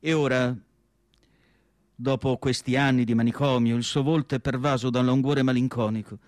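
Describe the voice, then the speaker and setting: A man playing a melancholy asylum doctor in radio theatre, narrating in an emphatic literary monologue with dramatic pauses. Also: medium pitch, steady tone, darkish tone, dry recording, studio quality, close to an everyday voice. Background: music.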